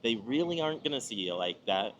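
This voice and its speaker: An American man from Nebraska hosting an informal advice monologue, talking in a measured, explanatory way with emphatic pauses. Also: mid-range voice, sing-song, a bit bright, dull audio, a little hiss or hum. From Nebraska, United States. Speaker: video host giving travel advice.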